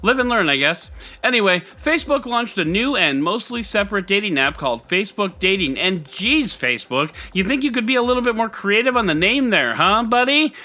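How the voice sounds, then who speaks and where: Animated, bright-voiced man hosting a podcast. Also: high-pitched, brisk. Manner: jokey, mock-dramatic, sarcastic asides. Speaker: podcast host. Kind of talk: scripted tech news with joking asides.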